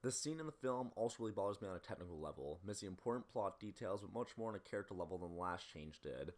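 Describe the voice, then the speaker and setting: A man's voice: low voice, expressive, quick pace. A man giving scripted film commentary as a video essayist, in a critical, opinionated manner.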